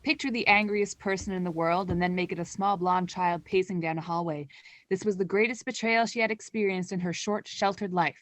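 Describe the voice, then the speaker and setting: A woman's voice: on the low side, steady tone, fairly quick, a bit bright, no room sound, close to an everyday voice, poor audio. A young woman playing the wry narrator of a scripted student radio play. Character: wry storyteller commenting on the characters.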